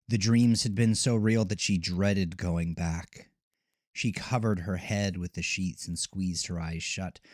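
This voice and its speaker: A man narrating a scripted horror audio drama in a deliberate, dramatic storytelling manner. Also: low-pitched, darkish tone, no room sound, studio quality. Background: music.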